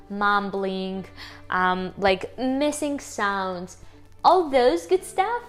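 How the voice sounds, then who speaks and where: A woman with a strong Ukrainian accent, chatting casually to the camera in her home kitchen, upbeat and laughing often as she talks to her viewers. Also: a little high. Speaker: YouTuber.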